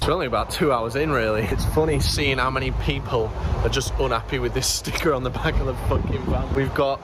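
A man's voice: mid-range voice, fairly even intonation, fairly quick, loud music behind the voice. A young man, casually vlogging a road trip in a cheeky, joking way, filmed on a phone at arm's length. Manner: cheeky, joking, swears freely. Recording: road and vehicle noise behind.

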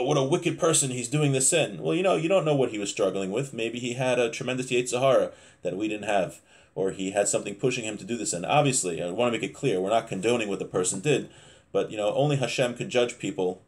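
A man in his thirties giving an informal religious lesson, reading from a text and explaining it haltingly, often rephrasing himself. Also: medium pitch. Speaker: religious teacher. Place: small home office, indoors.

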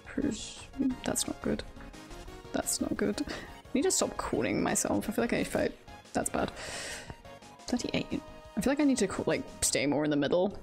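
A woman with a medium-pitched, noticeably creaky voice chats casually while playing a game on stream. She thinks out loud at an unhurried pace, often repeating her own phrases. Music plays behind her. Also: big pitch swings. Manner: chatty, self-talking, often repeats phrases. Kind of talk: casual live game commentary, thinking aloud.

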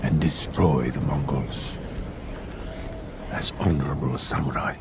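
A low, weighty voice belongs to this commanding older samurai lord. He speaks formally and with measured authority, as in a cinematic game, over clearly noisy surroundings. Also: steady tone. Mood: solemn, resolute. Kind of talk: cinematic game drama acting.

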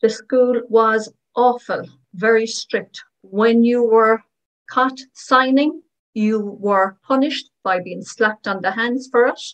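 A woman speaking as a voice-over interpreter, giving first-person testimonies of signers in short, measured phrases. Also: a little high, dull audio. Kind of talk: voicing first-person testimonies in plain narration.

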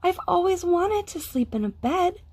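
A woman playing a small toy bear in a picture book, gentle and full of wonder. The voice rises lightly on each discovery, with a mellow, gravelly texture. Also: very high-pitched, lively intonation, moderate pace, voice pushed up. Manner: soft wondering and hopeful.

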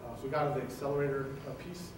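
Man, 30s, keynote speaker at a podium, casual filler-heavy delivery, booming hall sound picked up from afar. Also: racing. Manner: loose and conversational, many ums and uhs. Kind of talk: conference keynote talk. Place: large conference hall, indoors. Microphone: podium mic, heard from a distance. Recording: echoey hall from far off, with hiss.

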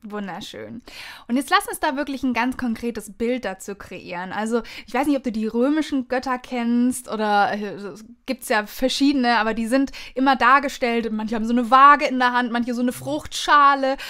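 A woman's voice: fairly high pitch, normal speed, sharp tone. A woman hosting a podcast interview in an effusive, encouraging manner. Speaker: podcast host.

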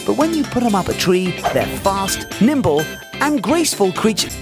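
A man's voice: high-pitched, very animated, normal speed, over music. A man giving cheeky, playful scripted wildlife narration for children.

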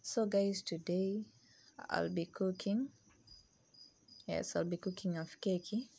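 Chatty young woman, lowish voice. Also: fairly even intonation, slow, vocal fry, mellow, slightly rough. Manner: chatty, switches between English and Swahili. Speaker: cooking vlogger. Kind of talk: casual cooking tutorial. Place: small home kitchen indoors. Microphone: camera on counter, medium distance.

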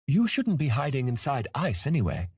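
A man's voice: medium pitch, wildly swooping pitch, normal speed, darkish tone, husky, dry recording, clean studio sound, voice pushed up, exaggerated voice. A man voicing a cheerful, naive young boy dragon hatchling in a fantasy audiobook, with a childlike, eager, chirpy manner. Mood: happy, eager.

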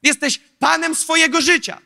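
A man in his thirties preaching a sermon into a handheld mic on a large church stage, animated and rhetorical, with lively asides to the audience. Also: extremely high pitch, moderate pace, vocal fry. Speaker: pastor. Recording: long hall reverb.

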